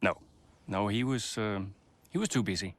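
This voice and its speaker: A man playing an earnest racing team principal and mentor, speaking in a measured, thoughtful, hesitant way. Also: lowish voice, natural voice. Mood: reflective. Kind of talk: documentary interview and speech.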